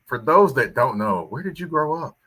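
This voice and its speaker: A man hosting a podcast interview, asking questions in a relaxed, laid-back way. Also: a little high, normal speed, husky. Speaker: podcast host. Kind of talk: casual interview chat.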